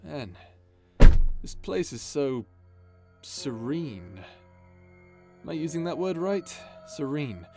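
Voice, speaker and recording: A man acts out a boyish, earnest pirate captain in an audio drama. He rambles informally and nervously at a slow, halting pace, with animated intonation over some music. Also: on the high side, exaggerated voice. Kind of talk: intimate ASMR audio roleplay drama.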